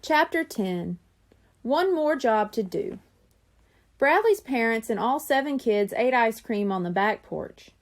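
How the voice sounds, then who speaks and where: A woman reading a children's chapter book aloud in an expressive storytelling manner. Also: fairly high pitch, measured pace. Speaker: audiobook reader.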